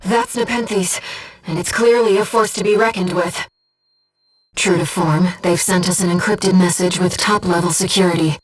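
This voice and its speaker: A woman voicing a gentle, earnest, disembodied female companion in a video game, guiding a pilot with composed, precise callouts and briefings. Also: lowish voice, steady tone, moderate pace, breathy edge, far from the mic, rough recording. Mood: composed, informative. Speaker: mission support companion.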